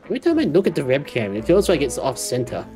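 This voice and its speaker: Woman streaming a game, real low voice but lively intonation, dry offhand comments. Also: fast talker, soft background music. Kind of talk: casual live game commentary.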